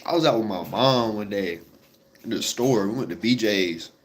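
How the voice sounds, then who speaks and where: A man's voice: on the low side, moderate pace. A congested young man chatting casually and rambling to his viewers, full of slang and fillers. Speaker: vlogger.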